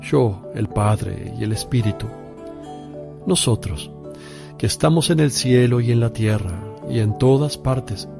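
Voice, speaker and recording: A man playing a solemn, grave holy teacher in a religious radio drama, speaking in measured declarations. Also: medium pitch, gravelly, music in the background. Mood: solemn, assured.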